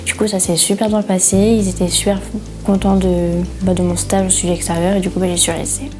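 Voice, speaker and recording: Young woman giving a halting testimony. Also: lowish voice, little pitch movement, over music. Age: 20s. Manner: hesitant, many fillers. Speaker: interviewee. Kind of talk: documentary testimony.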